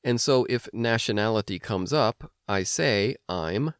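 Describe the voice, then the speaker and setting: An American man hosting an instructional language podcast, explaining things clearly like a teacher. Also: on the low side, lively intonation, unhurried, dry recording, clean studio sound. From United States. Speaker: language lesson host and teacher. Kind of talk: instructional podcast narration with personal anecdotes.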